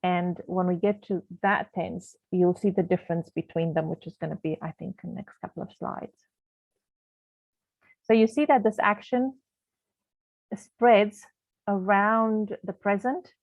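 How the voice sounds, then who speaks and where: A woman's voice: medium pitch, steady tone, measured pace, gravelly. A woman teacher from Canada, whose first language is not English, explains grammar patiently, with many fillers and restarts. Her voice comes through a tinny, phone-like video call. The sound is dry, with no room echo. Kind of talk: online grammar lesson.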